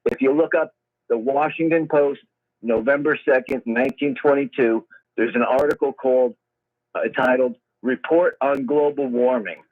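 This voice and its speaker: A man's voice: mid-range voice, normal speed. A man phoning in to a radio show to give his remarks. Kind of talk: call-in remarks. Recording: narrow phone line over AM radio.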